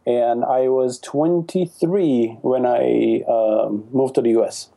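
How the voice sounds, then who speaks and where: Male guest from Turkey, very dark warm tone, friendly and hesitant podcast chat. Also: mid-range voice, steady tone, slow, gravelly, low-quality recording. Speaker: podcast guest, wedding photographer. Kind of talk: casual interview answers.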